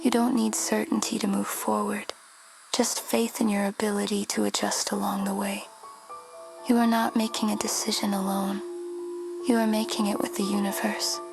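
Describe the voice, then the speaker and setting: A woman in a whisper, guiding a meditation in a slow, soothing way. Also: medium pitch, vocal fry. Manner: slow, soothing, flat delivery. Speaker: meditation guide. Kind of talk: guided meditation. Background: music.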